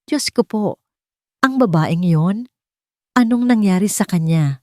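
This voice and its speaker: A woman's voice: medium pitch, animated, takes it slowly, dark tone, no echo, clean studio sound. A Filipino-accented woman voicing a haughty, cold, rich older mother in an audio drama, melodramatic and condescending. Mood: feigned shock.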